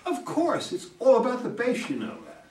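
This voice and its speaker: A man's voice: extremely high pitch, huge pitch swings, moderate pace, full character voice. A man playing a brash, cynical, pushy campaign manager in an amateur play reading, blunt and wisecracking. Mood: persuasive. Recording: distant, echoey room, faint noise.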